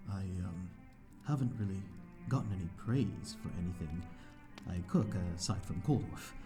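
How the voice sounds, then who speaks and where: A man's voice: low-pitched, lively intonation, normal speed, over music. A man playing a courteous, lonely vampire of an old manor in an ASMR audio roleplay, speaking in hesitant, formal, old-fashioned phrases. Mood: modest, bashful. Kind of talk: slow ASMR audio roleplay, addressing the listener.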